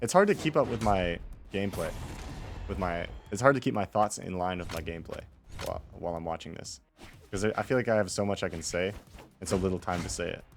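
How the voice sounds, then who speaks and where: A man with a low voice commentates his own video game replay. He speaks casually and off the cuff, rambling through his thoughts with wry self-deprecation. Music plays clearly behind his voice. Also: fast.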